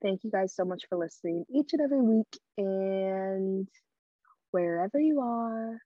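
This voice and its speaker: A woman's voice: mid-range voice, expressive, unhurried, no echo, lo-fi sound. A young woman hosting her own podcast alone, speaking bluntly and candidly with crude humor. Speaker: podcast host. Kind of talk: casual solo podcast talk. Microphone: podcast microphone.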